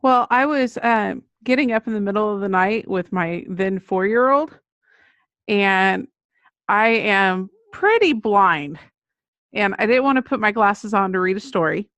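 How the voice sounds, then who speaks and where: Female podcast guest, middle-aged, mid-pitched, casual anecdotal delivery, very dry recording. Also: a bit bright, dry recording. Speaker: children's book author, podcast guest. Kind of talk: casual interview chat, anecdotal storytelling.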